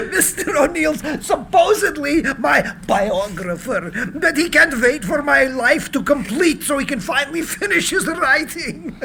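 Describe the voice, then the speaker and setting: Male actor as elderly eccentric inventor, boastful and excitable, widely swinging intonation, drama read over clear background noise. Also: extremely high pitch, full character voice. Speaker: actor in a script reading. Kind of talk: live screenplay reading. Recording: noisy, roomy live reading.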